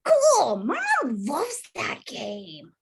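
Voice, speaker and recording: A woman playing an eager, chatty young boy scout in an animated film script read-through, earnest and childlike. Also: high voice, very slow, vocal fry, husky, full character voice. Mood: curious, bright.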